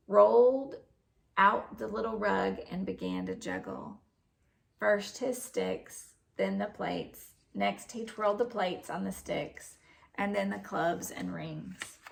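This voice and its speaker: Female storybook narrator, very gravelly fry, unhurried and expressive delivery. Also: mid-range voice. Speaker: storybook reader. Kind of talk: scripted narration, children's story read aloud.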